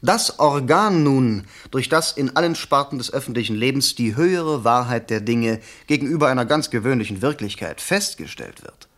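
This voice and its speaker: An actor as a smooth, eloquent, cynical newspaperman. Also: mid-range voice, lively intonation, a bit bright, natural voice. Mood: suave, persuasive. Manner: eloquent, measured, persuasive. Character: smooth, cynical newspaper reporter coaching a politician. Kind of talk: 1970s German radio play acting.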